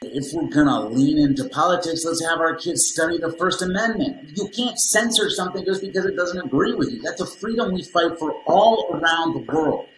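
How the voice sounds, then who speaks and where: A man's voice: on the high side, normal speed, few pauses, fairly bright tone, close to an everyday voice. A man in his thirties playing an argumentative, indignant school parent in a scripted dramatization. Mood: challenging. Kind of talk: scripted dramatization on video call. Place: small room, video call. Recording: roomy laptop call, light noise.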